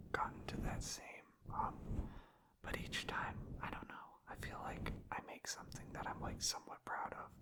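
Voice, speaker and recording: A young man whispering a gentle, meandering ASMR ramble close to the microphone, hesitant and unscripted. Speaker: ASMR host.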